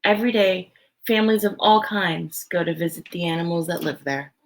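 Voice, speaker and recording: Creaky-voiced woman reading a children's story. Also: on the low side, a little fast. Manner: child-directed, simple, emphatic storytelling with chatty asides. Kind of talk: expressive picture-book read-aloud with casual asides.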